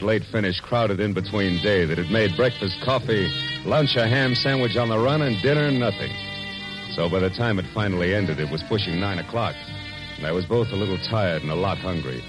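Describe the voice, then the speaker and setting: A wry, tired American private eye from a 1940s radio drama, played by a male actor. His voice sits low and he talks in sardonic, hard-boiled wisecracks. It is an old radio recording with music behind him. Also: close to an everyday voice, rough recording. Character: wry, weary, hungry male private detective. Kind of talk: 1940s radio noir narration and acting.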